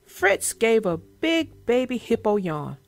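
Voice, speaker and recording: A woman's voice: fairly high pitch, very animated, takes it slowly, vocal fry, no echo. A woman reading aloud and chatting expressively as a children's book narrator and host. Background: music.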